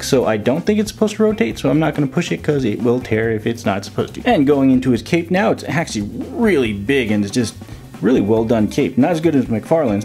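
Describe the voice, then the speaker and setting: A man's voice: medium pitch, a little mellow. Guy doing a goofy casual action figure review, voice bounces up and down a lot, music behind him. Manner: playful, silly made-up words and sound effects. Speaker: toy reviewer and video host.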